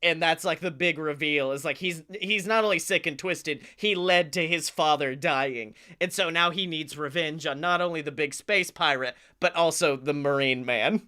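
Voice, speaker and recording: A man co-hosting a comedy podcast, pitching a story in casual, jokey, sweary talk. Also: normal speed, hardly any pauses, bright, forceful tone, clear, resonant tone.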